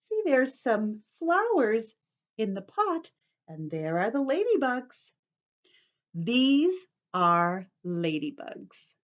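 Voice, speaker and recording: Slow, lively woman teacher reading to children. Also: on the high side, muffled recording. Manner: instructive, addresses children with questions and simple repetition. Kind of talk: children's story-time read-aloud with simple explanations.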